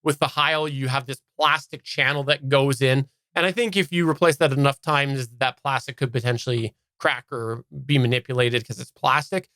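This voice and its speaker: A man's voice: mid-range voice, steady tone, normal speed, few pauses, bright, forceful tone, no echo. A man from Canada giving a casual, unscripted product review as a podcast host, explaining in detail and stressing key words.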